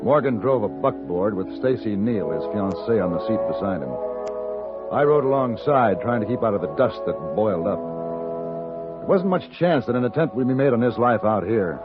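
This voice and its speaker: Actor as low-voiced, cool Old West gunfighter. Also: male voice, animated, moderate pace, lightly acted. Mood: narrating. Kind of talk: 1950s radio western acting and narration. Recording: dull vintage radio broadcast with music.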